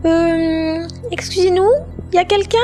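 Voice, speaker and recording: A man voicing a polite, gentle young female nymph with a thin feminine voice in an amateur audio drama. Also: very high voice, little pitch movement, unhurried. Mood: timid. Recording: faint music behind the voice.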